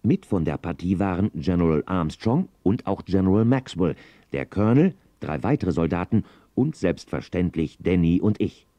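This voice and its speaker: An actor playing a calm Western sheriff who narrates his story in an audio drama. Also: sits low, moderate pace, no room sound. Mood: measured. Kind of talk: scripted narration.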